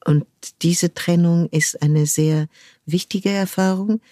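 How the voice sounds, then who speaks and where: An older woman from France, speaking thoughtfully as an interview guest and pausing often to search for the right word. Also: lowish voice, fairly even intonation, mellow, no room sound, studio quality. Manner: thoughtful, searching for words with frequent pauses. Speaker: guest, cultural manager. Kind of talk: reflective conversational interview.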